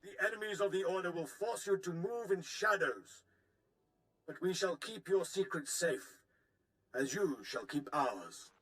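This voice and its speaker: A high-voiced male cult master, imperious and ritualistic. He declaims ceremonially, as in dark fantasy game drama. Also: expressive, a little slow, low-quality recording. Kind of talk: dramatic video game voice acting.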